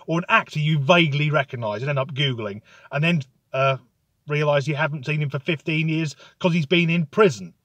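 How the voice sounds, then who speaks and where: A man delivering a sardonic, sweary comic rant in a deadpan tone. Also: on the high side, no echo. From United Kingdom. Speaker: comedic ranter.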